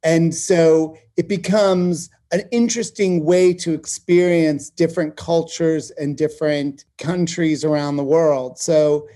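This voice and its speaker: Male interviewee, TV producer. Soft-edged mellow tone, emphatic and conversational. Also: a little high, fairly even intonation, measured pace, hardly any pauses. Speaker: television producer being interviewed.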